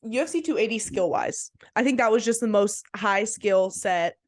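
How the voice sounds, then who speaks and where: A woman's voice: mid-range voice, moderate pace, dry recording. A woman with a slight cold co-hosting a podcast, giving casual, slangy and enthusiastic fight analysis close to the mic. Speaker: podcast co-host.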